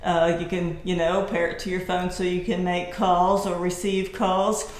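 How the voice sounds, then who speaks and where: A woman chats through a product demonstration at an unhurried pace, with a slightly low voice. Her manner is informal and folksy, with halting explanations. The room is echoey, with faint noise behind her. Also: flat delivery. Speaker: product reviewer and vlogger. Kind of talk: casual product demo chat. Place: indoors at home. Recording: roomy echo, faint background noise.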